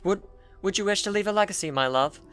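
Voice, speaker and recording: A man puts on a devoted young woman from a dark game story, desperate and hesitant. The voice is lifted high and pleads with a bright edge, over faint music. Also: fast talker. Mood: pleading. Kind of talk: dramatic reading of video game prose.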